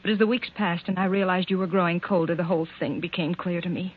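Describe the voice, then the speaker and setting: Actress as wounded wife, old radio. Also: female voice, on the low side, fairly even intonation, quick pace, narrow, tinny audio, lightly acted. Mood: bitter, accusing. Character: hurt, suspicious, accusing wife of a straying husband. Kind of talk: 1940s radio drama acting. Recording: band-limited old 1940s radio recording.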